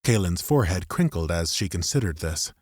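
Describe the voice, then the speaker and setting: A low male voice reads scripted fiction as an audiobook narrator. The recording is very dry and close, like a studio microphone, with the story told in third person. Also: lively intonation, brisk, darkish tone, no echo.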